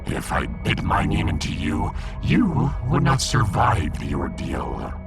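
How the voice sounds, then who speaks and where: Villainous alien fish overlord: croaky, pompous, theatrical, wildly swinging intonation. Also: male voice, rumbling low, sing-song, loud music behind the voice, heavily acted. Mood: haughty. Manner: pompous, theatrical, slow and deliberate. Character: pompous alien fish emperor, menacing self-styled god. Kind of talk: sci-fi audio drama acting.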